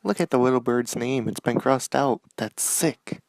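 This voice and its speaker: A man's voice: medium pitch, normal speed, creaky, darkish tone, close to an everyday voice. A man playing a blunt, sarcastic tough guy in a noir radio drama, on a noisy microphone. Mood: blunt, impatient. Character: brash, sarcastic male tough guy, a bragging noir party guest.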